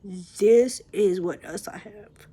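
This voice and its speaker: A young woman vlogger who stutters, talking haltingly to her viewers with many pauses and restarts, calling them y'all. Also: medium pitch, mellow, husky. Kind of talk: personal vlog, talking to viewers.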